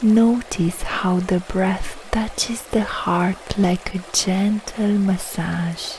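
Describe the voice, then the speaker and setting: A woman guiding a yoga nidra relaxation meditation, speaking in soft, soothing, unhurried short phrases with long pauses. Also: medium pitch, fairly even intonation, slight background noise, low-quality recording. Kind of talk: slow guided relaxation meditation.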